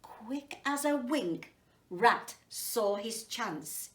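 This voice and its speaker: A woman reading a story to children and chatting to them about it, addressing them directly in an unhurried way. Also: a little high, animated. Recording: roomy echo, distant mic.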